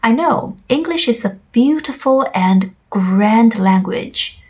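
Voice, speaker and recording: A woman's voice: a little high, expressive, takes it slowly, a little mellow. A woman whose first language is Chinese, a Chinese teacher from China, reflecting earnestly on her own life in English. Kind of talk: personal reflective monologue.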